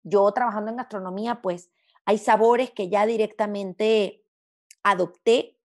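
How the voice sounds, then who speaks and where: A woman's voice: mid-range voice, steady tone, measured pace, darkish tone. A woman from Venezuela with a hard-to-place Latin American accent, talking reflectively and expressively as an interview guest. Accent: Spanish of mixed Latin American sound, hard to place. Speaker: interview guest, gastronomy journalist.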